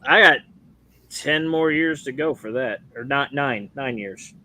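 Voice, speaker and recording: A man's voice: medium pitch, measured pace, pushed and bright. A man in his forties, chatting casually on a stream panel with a laid-back, self-mocking manner. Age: 40s. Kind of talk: casual chat.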